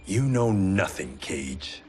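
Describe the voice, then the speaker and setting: A man's voice: low voice, big pitch swings, slow pace, a little mellow, full character voice. A man voicing a stern, determined swordsman of a fallen clan in a cinematic video game, speaking tersely and resolutely. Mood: curt. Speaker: actor in a fighting game story mode. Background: background music.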